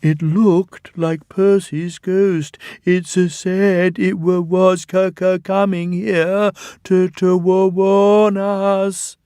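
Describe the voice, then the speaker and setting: A man's voice: high-pitched, takes it slowly, few pauses, mellow, clear, resonant tone, no echo, clean studio sound, voice pushed up. A man voicing a timid, kindly male tram engine who stammers in a shaky voice, in a storybook reading. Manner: shaky, stammering when scared.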